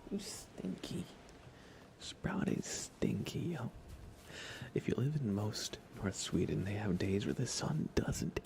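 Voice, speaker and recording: A soft-spoken man doing casual ASMR game commentary on a live stream, often slipping into whispers. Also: fairly low pitch. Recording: clear background noise.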